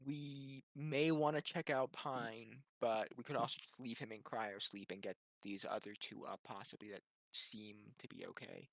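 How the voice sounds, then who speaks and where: A man's voice: mid-range voice, normal speed, creaky, few pauses, a bit bright, no room sound, low-quality recording. A man talking casually as a player in a tabletop role-playing game.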